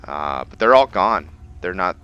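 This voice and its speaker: A man's voice: deep voice, very animated, measured pace, sharp tone, dull audio. A man giving casual, rambling let's-play commentary as a gaming host.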